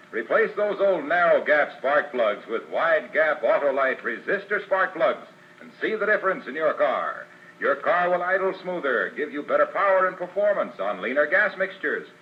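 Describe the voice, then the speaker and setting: A man's voice: fairly high pitch, slight background noise. An American man working as a 1940s radio announcer, polished and declamatory with a salesman's delivery, heard on a muffled vintage broadcast.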